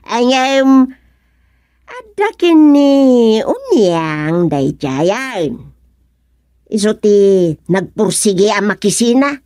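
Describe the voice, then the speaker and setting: A woman's voice: fairly high pitch, sing-song, extremely slow, mellow, no echo, heavily acted. A gossipy village woman from a radio comedy-drama. She has an Ilocano accent and takes her time over the words. Her melody rises and falls widely, and the recording is very dry.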